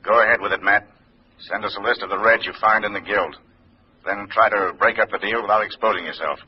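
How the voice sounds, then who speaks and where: Low, husky government handler. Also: male voice, steady tone, fairly quick. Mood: brisk, official. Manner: clipped, official. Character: businesslike male FBI handler giving orders. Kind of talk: 1950s radio drama acting. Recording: muffled vintage radio recording over phone scene.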